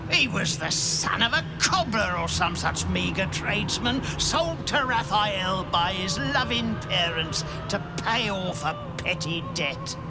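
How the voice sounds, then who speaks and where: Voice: mid-range voice, animated, slow, few pauses. An actor voicing a cruel, sardonic spirit who was once a prison keeper, in a video game cutscene, speaking in a mocking drawl. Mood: contemptuous. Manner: mocking, drawling, with sneering laughs. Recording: music underneath.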